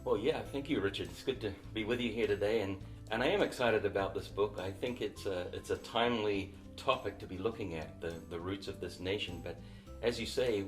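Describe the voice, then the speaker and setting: A middle-aged man from New Zealand with a New Zealand accent, a missionary and author telling his story in an interview, reflective and unhurried with small restarts. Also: lowish voice, soft background music.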